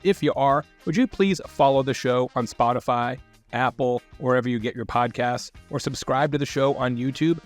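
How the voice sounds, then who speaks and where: A man reading a short promo, speaking directly to listeners in an upbeat way. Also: medium pitch. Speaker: podcast host reading a promo. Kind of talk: friendly promo read. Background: faint music.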